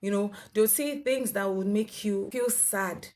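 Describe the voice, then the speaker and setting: A woman's voice: mid-range voice, a little slow, a little mellow. A young woman giving earnest, instructive health advice to her viewers. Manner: earnest, instructive, with fillers and restarts. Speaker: health vlogger with sickle cell. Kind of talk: advice monologue to camera.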